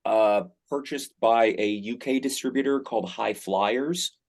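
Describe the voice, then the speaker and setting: A man's voice: medium pitch, a little slow. A middle-aged man, an actor, answering interview questions in a rambling, enthusiastic way with many fillers.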